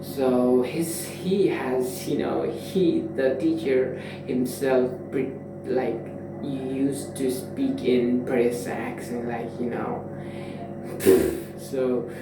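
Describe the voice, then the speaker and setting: A man's voice: mid-range voice, steady tone, drawn-out words, music in the background. A man in his twenties from Nepal, talking in a casual, reflective monologue that rambles with constant fillers and restarts. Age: 20s. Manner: rambling, full of "you know" fillers and restarts. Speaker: vlogger. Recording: echoey room, mic far off, background noise.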